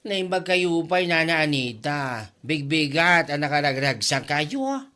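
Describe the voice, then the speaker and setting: A man's voice: a little high, unhurried, pushed and bright. A man with an Ilocano accent playing a gossipy, exclamatory village neighbour in a comedy drama. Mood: teasing.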